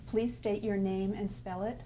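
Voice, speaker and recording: A woman interviewer asking measured, formal interview questions. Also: medium pitch, fairly even intonation, dark tone, slight background noise.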